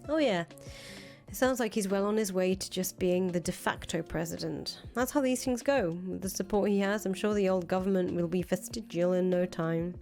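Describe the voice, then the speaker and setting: A woman's voice: lowish voice, normal speed, a little mellow, natural voice. A woman voicing a brash, blunt, foul-mouthed revolutionary leader in a visual novel, talking casually. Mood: wry, casual. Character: brash, foul-mouthed revolutionary leader, volatile but attached to her friend. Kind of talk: visual novel dialogue reading. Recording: music behind the voice. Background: music.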